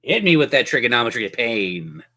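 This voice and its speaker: A man's voice: medium pitch, big pitch swings, brisk, pushed and bright. A man acts as game master for a tabletop role-playing session. He narrates in a playful way, with theatrical turns of phrase and a mid-range voice.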